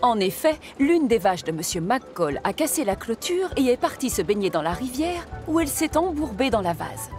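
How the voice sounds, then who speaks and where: A woman's voice: on the high side, big pitch swings, normal speed, hardly any pauses, a little mellow, soft background music. A woman narrating a children's story in a scripted storytelling style.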